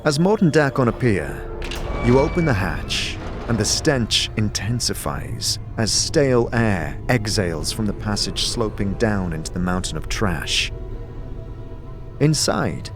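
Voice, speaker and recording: Low-voiced man narrating dark fantasy. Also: sing-song. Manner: deliberate, theatrical storytelling. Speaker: narrator and game master. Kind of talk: dramatic scripted second-person fantasy narration. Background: music bed.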